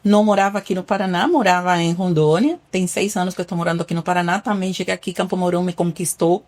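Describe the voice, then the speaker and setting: A woman's voice: on the low side, quick pace, a little mellow. Cuban-accented woman doctor, earnest. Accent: Cuban Spanish. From Cuba. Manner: earnest, explains with patient examples, some restarts. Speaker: family doctor. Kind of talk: podcast interview.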